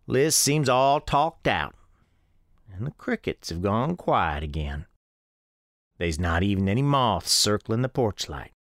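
An actor playing a plainspoken, watchful country storekeeper, narrating a supernatural radio drama in a deliberate, homespun way. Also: male voice, lowish voice, fairly bright tone, no room sound, clean studio sound, full character voice. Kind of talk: first-person radio drama narration and dialogue.

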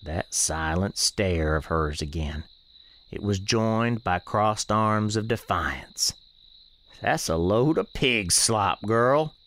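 Country store man narrating spooky radio play, real slow, kinda low, homespun. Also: animated, fairly bright tone, full character voice. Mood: watchful, then frank, knowing. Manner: unhurried, deliberate, homespun. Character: folksy, plainspoken, watchful country storekeeper of the supernatural. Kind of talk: first-person radio drama narration and dialogue.

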